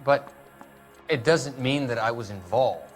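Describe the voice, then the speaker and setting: A man's voice: mid-range voice, lively intonation, a little slow, faint music behind, close to an everyday voice. A man playing a defensive, evasive suspect under questioning in a dramatic video game.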